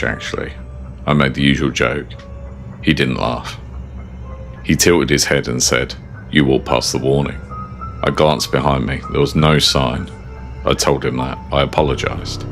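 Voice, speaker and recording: A British man reading scripted first-person horror narration in a measured, understated and ominous manner. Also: very deep voice, vocal fry. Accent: British English.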